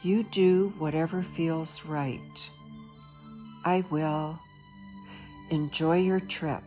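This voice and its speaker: A woman voicing a grown man who reassures a friend gently over the phone. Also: on the high side, steady tone, extremely slow, soft background music. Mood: reassuring.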